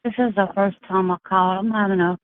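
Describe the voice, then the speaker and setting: A soft-spoken woman from Texas calling into a show, talking earnestly with many pauses and fillers. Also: medium pitch, fairly even intonation, no echo. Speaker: caller. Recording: tinny phone line.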